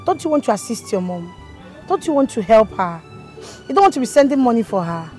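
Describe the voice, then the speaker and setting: A woman's voice: on the high side, big pitch swings, fairly quick, a little mellow, soft background music. A woman playing a pushy, coaxing woman. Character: pushy, self-assured woman urging a girl to leave. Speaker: persuader. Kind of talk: skit acting.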